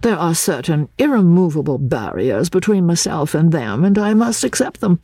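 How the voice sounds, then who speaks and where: A man's voice: a little high, sing-song, moderate pace, warm tone, breathy edge, dry recording, studio quality, pitched above the performer's own voice, heavily acted. Woman as a pompous, affected young Englishman. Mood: lofty. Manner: pompous, hesitant restarts. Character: affected young Englishman, supercilious, irritable, falsely cosmopolitan.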